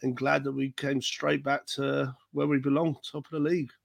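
A man's voice: mid-range voice, flat delivery, normal speed, gravelly, no room sound. A cheerful man with a London accent chatting casually as a podcast guest, light-hearted and self-mocking. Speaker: podcast guest, football correspondent. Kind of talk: casual chat.

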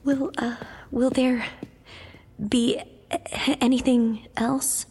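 An actress playing a meek, deferential 1930s housemaid in an audio drama, speaking hesitantly. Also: female voice, high-pitched, level tone, slow, a little mellow, slightly rough, exaggerated voice. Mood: nervous, meek. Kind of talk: period audio drama acting. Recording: reverberant hall, faint noise.

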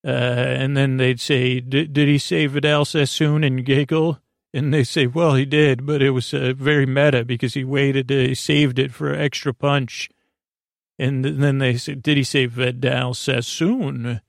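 A man hosting a bedtime podcast in a creaky voice, meandering and goofy, with hesitant restarts and tangled tangents. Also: medium pitch, level tone, unhurried, no room sound, clean studio sound. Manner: goofy, silly. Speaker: podcast host. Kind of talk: rambling bedtime story podcast monologue.

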